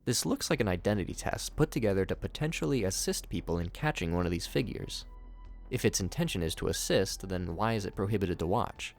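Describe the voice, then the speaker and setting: Male narrator, lower register, scripted review commentary, light music bed underneath. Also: lively intonation, brisk, gravelly. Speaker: YouTube narrator reviewing horror series.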